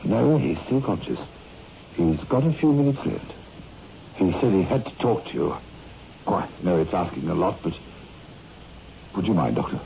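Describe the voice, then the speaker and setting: An actor playing an earnest, loyal assistant surgeon with formal, emphatic delivery in a 1960s radio drama. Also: male voice, deep voice, lively intonation, moderate pace, creaky, long pauses, warm tone. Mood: respectful, solemn. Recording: muffled, noisy old radio recording.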